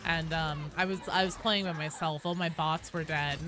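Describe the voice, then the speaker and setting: A woman's voice: deep voice, steady tone, moderate pace, crowd noise. A woman game streamer chatting casually while playing, joking and swearing in loose slang.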